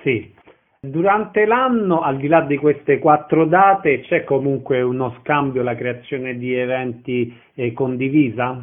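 A man's voice: medium pitch, unhurried, a little hiss or hum. A man asking questions as an interviewer in an informal conversation. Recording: narrow, tinny phone line.

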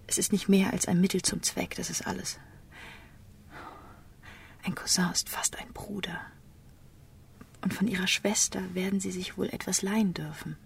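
An innocent young woman in love, devoted and earnest. She speaks in a hushed, breathy, hesitant half-whisper with a creaky edge, a little away from the mic. It is radio drama with faint noise behind her. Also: lowish voice, distant mic. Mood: hushed, pleading. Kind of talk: German radio drama acting.

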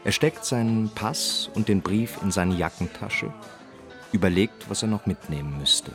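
An actor narrating a radio drama as a detached storyteller, measured and matter-of-fact. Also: male voice, lowish voice, expressive, music in the background. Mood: sober, detached. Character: sober, detached low-voiced male storyteller.

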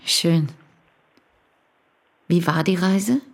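An actress in a radio play voicing a fond, teasing woman on a phone call, with a husky voice. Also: on the low side, very slow, heavily acted. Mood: fond, concerned.

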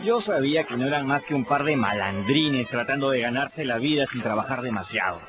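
An actor playing a lively runaway boy who tells his river adventures colloquially in a radio drama. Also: mid-range voice, brisk, dull audio, natural voice. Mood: storytelling. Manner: colloquial, animated storytelling. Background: music.

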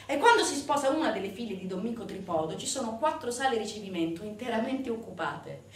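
A woman delivering a theatrical narrative monologue to an audience, emphatic, with dramatic pauses and rhetorical questions. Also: on the high side, animated. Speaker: stage actor performing a monologue. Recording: echoey, distant stage recording with noise.

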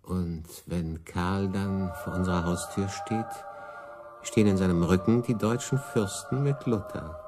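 Casting: cynical papal adviser, deep voice, sardonic radio drama delivery over music. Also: male voice, a little slow. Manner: ironic, sardonic. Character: wry, cynical papal adviser, a dry schemer. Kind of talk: German radio play acting.

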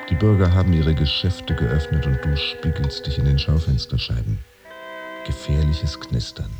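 An actor playing a brooding jobless man in an introspective radio drama monologue, measured and dry, often sinking towards a whisper. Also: rumbling low. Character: brooding man who lost his job, pretending to work.

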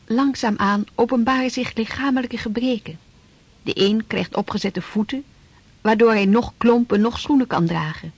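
A woman narrating a radio drama from a script in a husky voice. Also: fairly high pitch, normal speed, darkish tone, no room sound.

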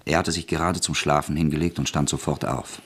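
A man narrating a radio drama in a calm, measured way. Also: extremely low pitch, slight background noise.

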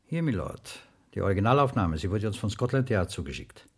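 An actor playing a respectful newspaper office employee in a radio play. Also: male voice, on the low side, lively intonation, a little fast, faint music behind. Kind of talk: 1970s German radio play acting.

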